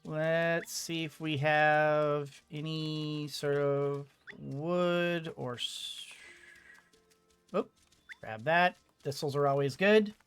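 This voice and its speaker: A let's play host commentates casually in a clean, smooth voice. The pace is slow, as if thinking aloud, with words often repeated. Soft music plays behind. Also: medium pitch, steady tone. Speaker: gaming video host.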